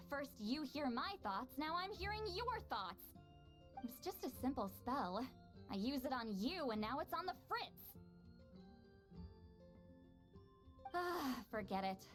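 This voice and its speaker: A woman's voice: high voice, fairly bright tone, full character voice. A woman playing a centuries-old shaman in a video game, proud and irritable, with an aloof, dramatic manner. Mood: exasperated. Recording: music under the voice.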